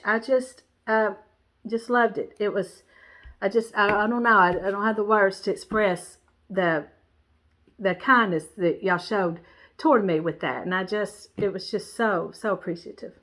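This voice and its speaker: A woman with a stuffy, nasal voice, chatting casually about her cross-stitch supplies in a rambling way, with lots of "um"s and restarts. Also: medium pitch. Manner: rambling, warm, many fillers and restarts. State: stuffy, congested nose. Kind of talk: casual show-and-tell chat.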